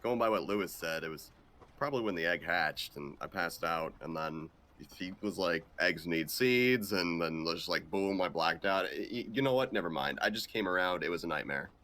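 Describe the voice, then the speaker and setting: Guy doing low deadpan action hero, macho but awkward, lots of halting, sharp edge to the voice, visual novel dub. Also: heavily acted. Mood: uneasy, evasive. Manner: halting, mumbly, casual. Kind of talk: improvised visual novel dubbing.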